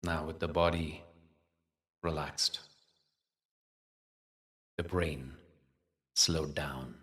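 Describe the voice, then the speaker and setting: A man with a very deep voice teaches a guided meditation. He speaks very slowly and soothingly, leaving long, measured pauses. The voice sits at some distance from the microphone. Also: steady tone, a bit bright.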